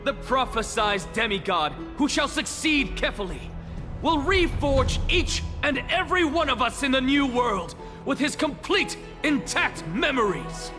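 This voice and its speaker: A grandiose, defiant scholar. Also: male voice, very high-pitched, animated, measured pace. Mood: lecturing. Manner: grandiose, declamatory lecturing. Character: arrogant male scholar, theatrical, defiant. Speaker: game character. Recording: game cutscene with loud music and long reverb.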